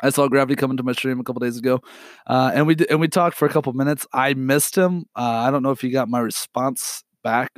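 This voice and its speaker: A young man hosting a podcast alone, sick and hoarse, talking in an apologetic, rambling way with many fillers and throat clearing. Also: medium pitch, no room sound, clean studio sound. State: sick with a raspy, cracking voice. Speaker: podcast host. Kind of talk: unedited solo podcast update.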